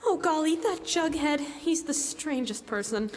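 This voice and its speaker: A woman's voice: high voice, lively intonation, measured pace, a little hiss or hum. A young woman playing a sweet, polite, bewildered teenage girl, earnest and theatrical in a classic-style radio play. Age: teens. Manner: wide-eyed, earnest, theatrical. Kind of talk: live stage radio drama in classic style. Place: stage in a hall, live performance. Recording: echoey stage hall recording.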